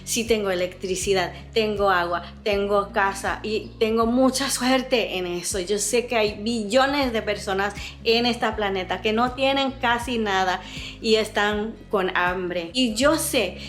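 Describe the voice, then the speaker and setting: A woman's voice: medium pitch, measured pace, fairly bright tone, faint music behind. Earnest woman with an American accent. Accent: American, with mixed Latin American Spanish. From Latin America. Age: 50s. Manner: earnest, thoughtful, speaks with feeling. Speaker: vlogger, host of a frugal living channel. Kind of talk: reflective personal vlog.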